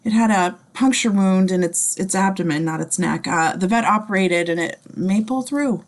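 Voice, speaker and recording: A woman playing a blunt, weary male police chief in a table read, with halting delivery. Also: mid-range voice, close to an everyday voice. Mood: businesslike, weary. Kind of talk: screenplay table read acting.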